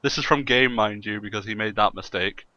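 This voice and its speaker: A man hosting a casual gaming podcast chat in a jokey way, quick to laugh, on slightly lo-fi audio. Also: fairly low pitch, pushed and bright. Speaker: podcast host and streamer.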